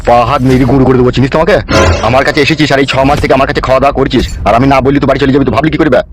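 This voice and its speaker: গ্রামবাংলার টানে একজন পুরুষ কার্টুনে এক বদরাগী, মুখখারাপ, দাদাগিরি-করা নেতার গলা দিচ্ছে।. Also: male voice, mid-range voice, steady tone, racing, noisy background, lightly acted. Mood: threatening. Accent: rural West Bengali. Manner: threatening, domineering. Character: bullying, hot-tempered politician boss, manipulative and crude. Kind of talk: amateur comedy cartoon dub.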